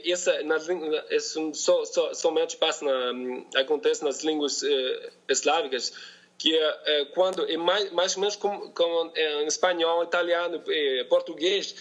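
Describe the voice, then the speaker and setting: A man from Colombia with a Bogotá Spanish accent, a native Spanish speaker talking as a podcast guest in a second language, halting and searching for words. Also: a little high, a bit bright, dull audio. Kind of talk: casual conversation in a second language.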